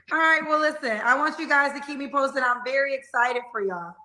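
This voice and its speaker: A woman's voice: high-pitched, fairly quick, bright, forceful tone, low-quality recording. A woman hosting a live show, asking guests questions in a bubbly, congratulatory way. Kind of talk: interviewing callers.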